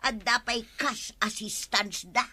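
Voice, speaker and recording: A nosy village wife in an Ilocano radio comedy drama. Her voice is bright, forceful and a bit high, with an Ilocano accent and a scolding, inquisitive delivery. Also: fairly even intonation, slow, breathy edge, heavily acted. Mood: nagging, explaining. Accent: Ilocano Filipino.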